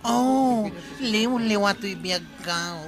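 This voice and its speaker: A man's voice: high voice, takes it slowly, over music. A man playing a flirtatious village drinker in a comedy radio drama, laughing and chatting up a woman.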